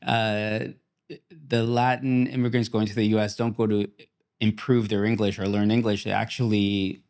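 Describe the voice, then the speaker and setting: A man's voice: lowish voice, little pitch movement, moderate pace, a little mellow. A Mexican Canadian man hosting an interview, asking thoughtful questions in a casual tone. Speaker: host.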